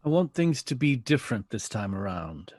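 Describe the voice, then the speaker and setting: A man's voice: mid-range voice, very animated, normal speed, a little mellow, no room sound. A man acting in an audio drama as a proud, pleading 1960s big band leader, earnest and impassioned in manner.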